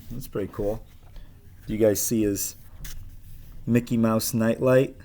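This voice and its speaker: A man's voice: fairly low pitch, steady tone, slow, warm tone. A man with an American accent talking informally and encouragingly to his pupils as a teacher. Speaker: school teacher. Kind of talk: casual talk to pupils, read-aloud.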